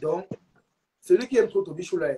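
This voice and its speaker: A man's voice: high voice, animated, a little fast, warm tone, slight background noise. A man hosting a live stream, talking insistently and repeating his points while urging viewers to share. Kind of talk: heated live stream chat.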